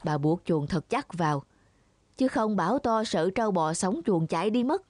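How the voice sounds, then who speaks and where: A woman's voice: mid-range voice, expressive, normal speed, darkish tone, dry recording, natural voice. Woman as a practical, anxious cartoon villager. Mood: worried, urgent. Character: practical, anxious farming villager looking after livestock. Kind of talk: children's cartoon fable dubbing.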